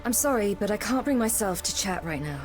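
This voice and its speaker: A woman plays a young warrior heroine in a fantasy video game. She is courteous yet guarded and determined, speaking at a medium pitch. Music plays clearly behind her. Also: expressive, a little fast, natural voice. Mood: resolute. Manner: polite but reserved, determined. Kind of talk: dramatic video game acting.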